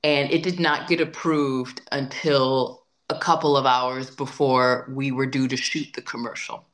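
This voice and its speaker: A woman's voice: extremely low pitch, little pitch movement, measured pace. A woman singer-songwriter being interviewed, talking in a chatty, emphatic way and often repeating words while she thinks. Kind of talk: casual interview conversation.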